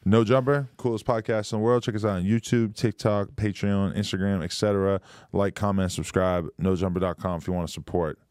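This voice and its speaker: A man hosts a podcast interview in a laid-back way, nodding along with quick affirmations and swearing casually. His voice is very deep. The recording is dry and close. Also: fairly even intonation, a little mellow, clear, resonant tone, no room sound. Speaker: podcast host.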